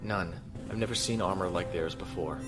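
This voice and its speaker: An actor playing a steady, dry-humored male spaceship captain in an audio drama, matter-of-fact in manner. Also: sits low, normal speed, lightly acted. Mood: wary. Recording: loud music bed behind voice.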